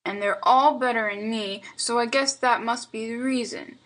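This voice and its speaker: A woman's voice: a little high, measured pace, fairly bright tone, voice pushed up. A woman voicing a mischievous, earnest little boy in a storybook, with childish slang and plaintive reasoning. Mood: earnest, defiant. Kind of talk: storybook character dialogue.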